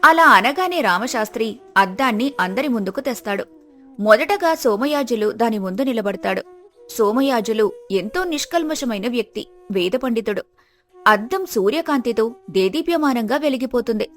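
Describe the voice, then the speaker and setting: A woman's voice: fairly high pitch, expressive, moderate pace, fairly bright tone, soft background music. A woman reading scripted narration of a moral folk tale as the storyteller.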